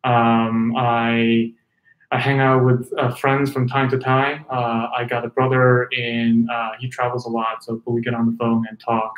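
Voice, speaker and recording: A man from China being interviewed as a company executive, chatting casually and hesitantly with pauses and self-corrections. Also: medium pitch, flat delivery. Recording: roomy, echoing sound.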